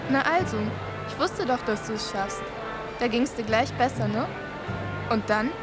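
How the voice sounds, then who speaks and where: A woman's voice: a little high, measured pace, loud music behind the voice, natural voice. An actress in a radio drama playing an eager young woman who prods her friend for gossip. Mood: encouraging. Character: eager young girlfriend prying for romantic details. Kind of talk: chatty radio drama dialogue.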